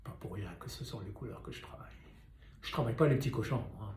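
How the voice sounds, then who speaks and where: An older man tells a story to his viewers with a warm, mellow voice. He talks in a loose, chatty way full of wry asides and direct questions. His voice echoes around the room. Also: fairly low pitch, quick pace, slight background noise. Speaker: painter and sculptor. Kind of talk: storytelling talk to camera.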